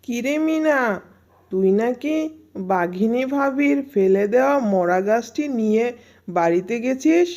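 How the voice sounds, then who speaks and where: A woman's voice: a little high, lively intonation, measured pace, mellow. A woman voicing a scornful, snobbish animal neighbour in a cartoon fairy tale, dismissive and sneering. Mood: contemptuous.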